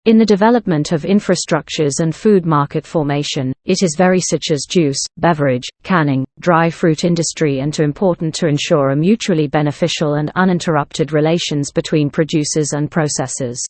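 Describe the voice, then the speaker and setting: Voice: medium pitch, fairly quick, few pauses, darkish tone, no echo. A person reading a scripted practice passage aloud.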